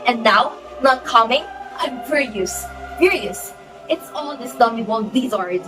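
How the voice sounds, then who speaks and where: A woman's voice: fairly high pitch, expressive, a little slow. A young woman playing an imperious, easily outraged society hostess and domineering mother in an amateur stage play, with a haughty, melodramatic delivery. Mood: haughty, furious. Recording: distant mic under music.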